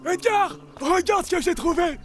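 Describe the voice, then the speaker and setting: A woman's voice: very high-pitched, normal speed. A woman voicing a good-natured, greedy and naive male wild boar in a French cartoon. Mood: eager. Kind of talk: French cartoon dub acting. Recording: loud music covering the voice.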